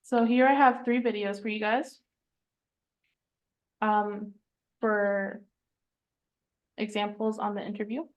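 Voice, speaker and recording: A woman's voice: medium pitch, little pitch movement, slow, long pauses, dull audio. Woman teaching a citizenship class. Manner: plain, reassuring, explains step by step. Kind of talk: casual classroom instruction. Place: online class recording.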